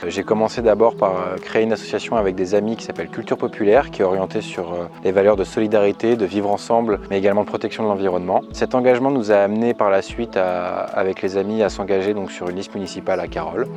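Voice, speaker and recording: A man's voice: on the low side, steady tone, quick pace, hardly any pauses, a little mellow. A man in his twenties giving an earnest, hesitant campaign statement with frequent fillers. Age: 20s. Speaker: local election candidate. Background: music.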